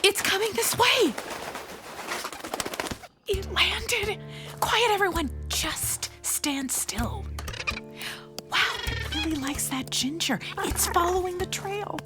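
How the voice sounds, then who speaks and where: An actress playing a caring, cautious forest ranger mom, expressive and protective, in an audio adventure drama. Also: female voice, very high voice, takes it slowly, long pauses, over music, exaggerated voice. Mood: excited, hushed.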